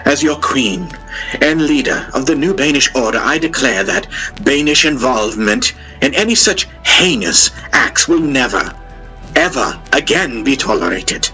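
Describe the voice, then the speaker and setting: A man's voice: medium pitch, expressive, a little slow, sharp tone, faint music behind, rough recording. A man voicing a newly crowned queen who gives a solemn, resolute, declamatory proclamation in an audio drama. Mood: grave, resolute. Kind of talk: formal oratory in an audio drama.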